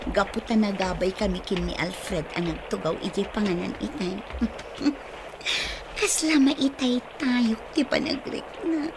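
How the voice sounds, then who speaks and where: A woman's voice: mid-range voice, takes it slowly, noisy background. A woman acting an anxious, easily startled young woman with an Ilocano accent in a radio drama. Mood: playful, weary.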